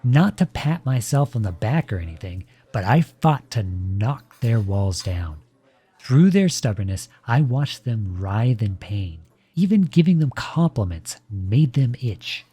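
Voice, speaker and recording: Audio roleplay boyfriend male actor: protective, courteous yet cutting, pointed formal delivery, medium pitch, very dry sound. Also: very animated, warm tone, husky, no echo. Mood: indignant, scornful. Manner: measured, formal, pointed phrasing. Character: protective boyfriend coolly confronting partner's ex, polite but cutting. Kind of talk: scripted audio roleplay monologue.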